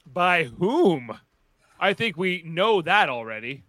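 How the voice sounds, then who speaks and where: A man's voice: sits high, very animated, slow pace, pushed and bright, no room sound, full character voice. A man playing a commanding, impatient superhero team leader in a radio play, with clipped delivery. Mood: stern, urgent. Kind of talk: live radio-play read of a superhero script.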